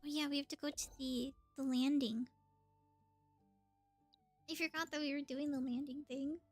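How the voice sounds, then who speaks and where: Woman, very high voice, chatty game streamer, thinking aloud, casual commentary, faint music behind. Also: steady tone, normal speed, no room sound. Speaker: livestreamer.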